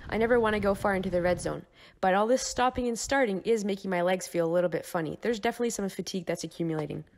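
A woman in her thirties talking casually and matter-of-factly to her camera as a cyclist vlogging her training, upbeat and chatty. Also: very fast. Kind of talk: casual first-person vlog. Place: outdoors on a racetrack and roadside. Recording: noisy outdoor action-camera audio, vehicle-like rumble.

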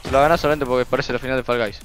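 A man's voice: mid-range voice, steady tone, rapid-fire, loud music behind the voice. A man with a Rioplatense Argentine accent bantering casually with online gaming friends, teasing and full of slang. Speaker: member of an online gaming group.

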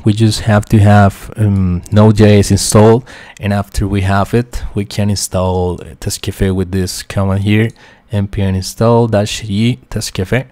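Low, flat-voiced man with a Costa Rican accent. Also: measured pace, hardly any pauses, no room sound. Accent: Costa Rican Spanish. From Costa Rica. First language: Spanish. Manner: hesitant, many fillers and restarts, quietly talks to himself. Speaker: QA engineer teaching a software tutorial. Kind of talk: screen-share tutorial explanation.

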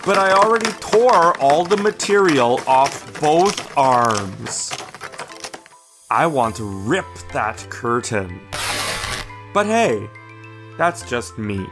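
A man's voice: mid-range voice, slow, music in the background. A man voicing a grumpy, dramatic, easily spooked house cat for a children's storybook read-aloud. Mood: bored, restless. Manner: deadpan grumbling with melodramatic outbursts.

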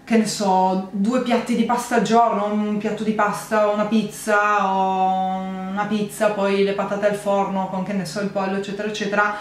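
A woman in her thirties chatting casually to camera as a vlogger, rambling and correcting herself in an animated way. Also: medium pitch, moderate pace. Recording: echoey room, faint noise.

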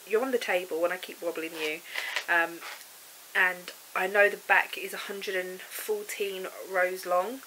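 A woman's voice: medium pitch, sharp tone, a little hiss or hum, rough recording. A woman, congested with a cold, chatting casually and rambling with many ums about her knitting, on a sofa at home. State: congested with a cold and sore throat. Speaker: knitting vlogger. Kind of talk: casual chat to camera. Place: living room sofa indoors.

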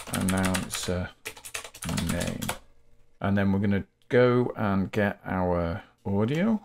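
A man with a fairly low voice walks through a software task as a tutorial host. He explains each step methodically and without a script, in an instructive way. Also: vocal fry, background noise. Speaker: tutorial host, game developer.

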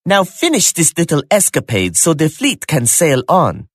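A venomous royal admiral for a game, theatrical and contemptuous, very dramatic melodic swings. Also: male voice, a little high, wildly swooping pitch, normal speed, slightly rough, no room sound, studio quality, full character voice. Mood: haughty, sneering. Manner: imperious, sneering. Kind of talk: theatrical game cutscene acting. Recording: game audio with loud music behind.

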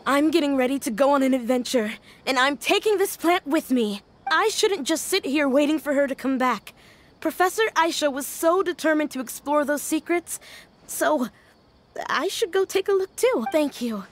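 A woman's voice: sits high, expressive, moderate pace, a little hiss or hum. An actress voicing a young woman student who is eager and resolute, in a game.

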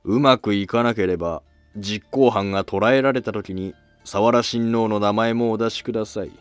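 A man playing a calm, scheming, self-sacrificing court noble in formal archaic speech for a history drama. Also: on the low side, normal speed, clear, resonant tone, no room sound, soft background music, natural voice. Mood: grave resolve.

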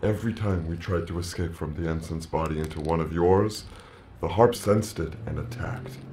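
Alien plant collective played by a man, very low, grave and measured, noise bed behind. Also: a little mellow, natural voice. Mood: grave, accusing. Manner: solemn, measured, speaks as "we". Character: ancient alien hive-mind plant colony speaking through a man, grave. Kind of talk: audio drama acting.

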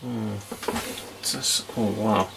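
Middle-aged male, deep and creaky, casual hobbyist demonstrating at a workbench, muttering unhurriedly. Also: fairly even intonation, slow pace, background noise. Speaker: vintage radio hobbyist presenter. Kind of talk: casual hands-on demonstration commentary. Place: small indoor electronics workbench.

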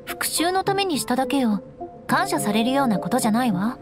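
A woman's voice: high-pitched, sing-song, fairly quick, over music. A young woman acting a proud, composed noblewoman knight in an anime game, strict but caring, with elegant, instructing delivery. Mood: haughty, caring. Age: young adult. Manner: poised, elegant, haughty, instructing.

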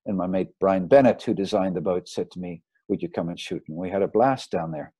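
A man, a sailing photographer, giving a relaxed, rambling slideshow talk over a video call. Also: low voice, brisk, slightly rough, no room sound. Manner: rambling, relaxed, with fillers and restarts. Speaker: marine photographer giving a lecture. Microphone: video call mic.

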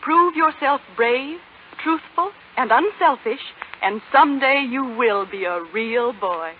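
Gentle fairy for vintage radio play: high voice, slow and ceremonial delivery. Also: female voice, animated, slight background noise. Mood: gentle, solemn. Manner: stately, formal, measured. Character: gracious, solemn, gentle blue fairy woman. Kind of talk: 1930s radio acting. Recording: tinny 1930s radio broadcast with music.

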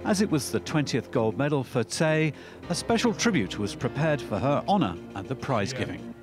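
Lively male sports documentary narrator. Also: mid-range voice, moderate pace. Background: background music.

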